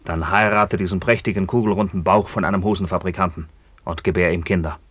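Bitter, low-voiced war captive returned home. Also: male voice, steady tone, quick pace, fairly bright tone, slightly rough, no echo. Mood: cold, commanding. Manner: declamatory, grim, swelling from low murmurs to fierce cries. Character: bitter maimed Roman returnee from captivity, commanding and vengeful. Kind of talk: theatrical German radio drama acting.

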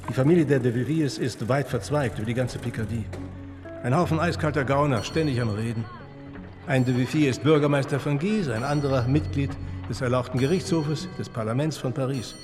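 A man's voice: medium pitch, close to an everyday voice. Actor as sardonic man in long reverb. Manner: dry, scornful. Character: sardonic narrator sneering at provincial gentry. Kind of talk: radio drama monologue.

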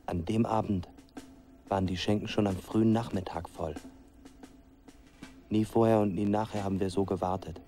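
An actor playing a plain-spoken working villager recalling a fugitive in a radio drama, with reflective delivery. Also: male voice, on the low side, fairly even intonation, normal speed, pauses often, dark tone, soft background music, natural voice. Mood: expectant. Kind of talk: narration in a German radio drama.

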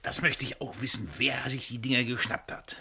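An actor playing an excitable, flippant, impatient sidekick in a radio crime play. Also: male voice, medium pitch, normal speed, creaky, husky, full character voice. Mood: impatient, agitated. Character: excitable, impatient sidekick named Charlie in a thriller. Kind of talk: 1970s German radio play acting.

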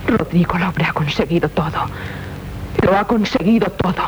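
A woman's voice: lowish voice, moderate pace, a little hiss or hum, natural voice, rough recording. An actress with a Castilian accent playing a proud, bitter young noblewoman in a 1970s radio drama, declaiming solemnly and theatrically. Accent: Castilian Spanish.

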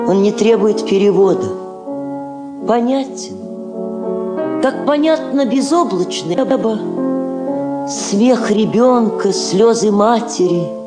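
Stately woman diplomat, slow, expressive pitch, declamatory Soviet drama, roomy recording. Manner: measured, heartfelt, declamatory. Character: dignified, warm-hearted woman Soviet ambassador. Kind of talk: solemn Soviet stage drama acting.

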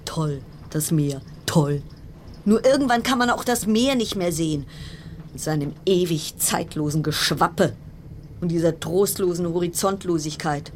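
A woman's voice: fairly low pitch, measured pace, a little hiss or hum, close to an everyday voice. An actress playing an uneasy, wry woman confined aboard a ship, musing in fragments in a radio play monologue. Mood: ironic, musing.

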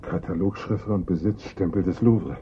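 A man's voice: moderate pace, lightly acted. An actor playing a grave art professor, authoritative and precise, entirely sure of his expertise. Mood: certain, solemn. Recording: dull vintage radio recording, faint rumble.

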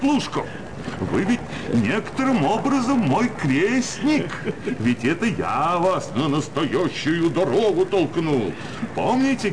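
Radio play: patronising gentleman, highish voice, hugely animated, pompous and hearty, loud ambient noise. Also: full character voice. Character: self-satisfied, patronising gentleman benefactor lecturing the poor. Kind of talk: theatrical radio play acting of classic literature.

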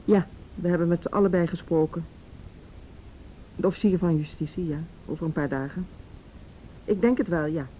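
An actress playing a calm, businesslike policewoman in a radio drama, courteous and composed, with a Netherlands Dutch accent. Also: normal speed, pauses often, natural voice. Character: calm, businesslike policewoman making phone calls. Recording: noisy radio drama recording, low rumble.

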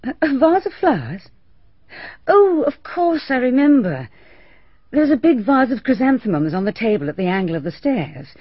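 An actress playing a composed, well-spoken village hostess who answers briskly and a little defensively in a radio drama. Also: female voice, fairly high pitch, sing-song, breathy edge, dry recording. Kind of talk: BBC radio drama acting. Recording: dull archival radio recording.

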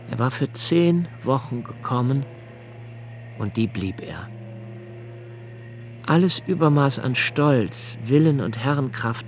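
A solitary young poet in a literary radio drama, turning his memories and fears over in his mind. His delivery is slow and deliberate, and his voice sits at an ordinary, middle pitch. The tone stays quiet and inward, like someone thinking aloud. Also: no room sound. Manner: slow, measured, contemplative. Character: brooding young male poet, 28, solitary and reflective. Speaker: first-person narrator of a radio play.

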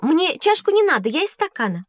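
Curious girl, high-pitched, expressive, teasing, dry sound, educational audio drama. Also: fairly quick, no room sound. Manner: expressive, girlish, questioning. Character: inquisitive, teasing young schoolgirl. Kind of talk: scripted educational audio play.

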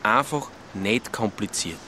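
An actor playing a down-to-earth, laconic Alpine nature lad in a radio play, speaking colloquial Austrian dialect. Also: male voice, on the low side, lively intonation, a little slow, noisy background. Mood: laconic, teasing.

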